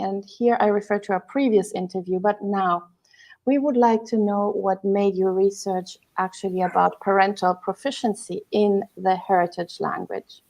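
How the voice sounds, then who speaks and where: A woman co-hosting a live online talk, reading a guest's introduction and posing questions. Her voice is mid-pitched and her delivery is measured, heard over a video call. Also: a little mellow. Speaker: co-host.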